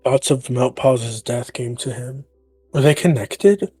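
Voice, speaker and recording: A man's voice: mid-range voice, measured pace, a little mellow, slightly rough, dry recording. A man narrating a scripted third-person animal story as part of a roleplay. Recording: dry, music behind.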